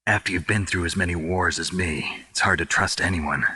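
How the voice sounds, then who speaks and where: An actor playing a gruff, weary war veteran in a video game, stoic and blunt, with a raspy, clipped, deadpan delivery. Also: male voice, deep voice, moderate pace, no room sound, exaggerated voice. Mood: reflective. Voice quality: very raspy, gravelly, husky. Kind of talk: dramatic video game voice acting.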